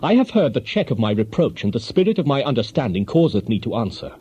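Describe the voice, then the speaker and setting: A man's voice: mid-range voice, very animated, fast talker, mellow, husky. Stern, declamatory ancient man, muffled. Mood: indignant. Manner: solemn, declamatory. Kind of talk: dramatized scripture reading. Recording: dull lo-fi audio with faint noise.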